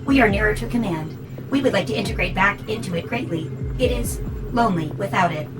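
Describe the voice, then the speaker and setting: A coldly logical servant robot played by a woman speaks with formal, precise politeness. The delivery is matter-of-fact audio drama acting, set over clear background music. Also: medium pitch, animated, brisk, natural voice, low-quality recording. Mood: politely menacing. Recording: music behind the voice.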